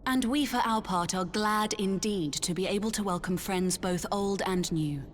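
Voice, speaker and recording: A woman's voice: mid-range voice, normal speed, natural voice. Lady doing formal gracious game dignitary, mid voice, slight noise. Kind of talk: video game cutscene acting.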